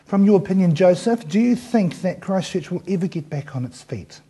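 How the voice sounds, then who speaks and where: A man's voice: high voice, animated, normal speed, dark tone, slightly rough. A middle-aged man, presenting news and asking interview questions formally from a studio desk on a lapel mic. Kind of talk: scripted news reading and interviewing.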